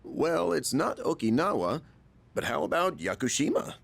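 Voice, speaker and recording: An actor playing a breezy, genial chairman in a video game, smooth, theatrical and casually persuasive. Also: a little high, sing-song, moderate pace, a bit bright, full character voice. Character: breezy, genial adult male school club chairman.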